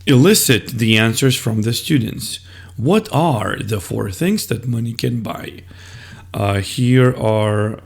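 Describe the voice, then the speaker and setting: A man explaining classroom methods as a teacher, in a deliberate, step-by-step instructional style. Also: medium pitch, creaky.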